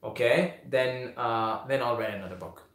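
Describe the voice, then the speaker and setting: A man's voice: medium pitch, normal speed, fairly bright tone. A young man originally from Syria, hosting a casual live question-and-answer stream, who often repeats his words and talks through fillers and restarts. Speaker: host answering viewer questions. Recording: echoey room.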